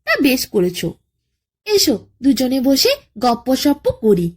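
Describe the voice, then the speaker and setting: A woman puts on a mischievous storybook jackal with a very lilting, high voice. The trickster nephew wheedles and acts falsely friendly, in the manner of a children's folk tale. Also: big pitch swings, slow, darkish tone, breathy edge, full character voice. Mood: casual, sly.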